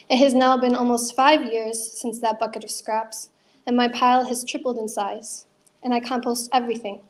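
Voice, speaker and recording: A young woman performing her own spoken word poetry at an open mic, earnest and measured. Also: on the high side, darkish tone. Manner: earnest, measured reading with chatty asides.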